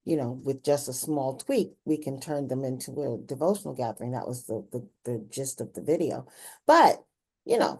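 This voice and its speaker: A woman with a low, mellow voice acts as interviewer in a community conversation. She talks reflectively, explaining as she goes and checking that she is being followed.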